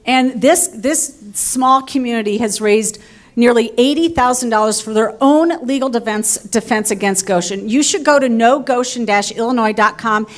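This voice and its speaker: A woman with a somewhat high voice addresses a community meeting, thanking the residents and urging them to give support. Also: normal speed. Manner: appealing to the audience.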